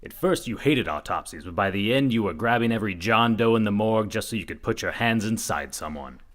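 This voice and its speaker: An earnest, self-assured reporter sleuth played by a man, with a lowish voice that swings widely in pitch. He is dramatic and a little dim, straight out of a spoof radio whodunit. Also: lively intonation, brisk, a bit bright. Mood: confident. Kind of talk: comedic old-time radio mystery acting.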